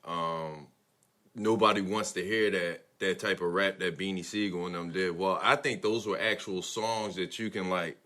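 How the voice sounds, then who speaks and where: A male podcast panelist talks in a deep voice that has a bright, forceful edge. He offers casual opinions haltingly, softening them as he goes. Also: fairly even intonation.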